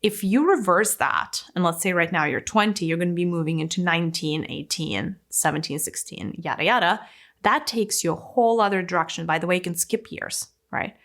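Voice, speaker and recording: A woman in her thirties giving a casual, animated talk to camera in a room, now and then dropping to a near-whisper for emphasis. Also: fairly low pitch, brisk. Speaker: spiritual teacher. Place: indoor room with plants and a window. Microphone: fixed camera, medium framing.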